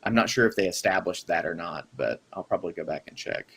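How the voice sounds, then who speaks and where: A man with a fairly low voice co-hosting a casual podcast chat over a call. He muses aloud in a thoughtful, wandering way and often trails off mid-sentence. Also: expressive, quick pace, fairly bright tone, breathy edge. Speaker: podcast co-host.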